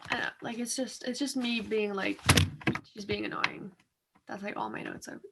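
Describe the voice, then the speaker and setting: A woman's voice: mid-range voice, fairly even intonation, normal speed, vocal fry, very noisy. A young woman co-hosting a discussion in a casual, colloquial and loosely phrased way. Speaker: co-host of a reading discussion. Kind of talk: casual chat.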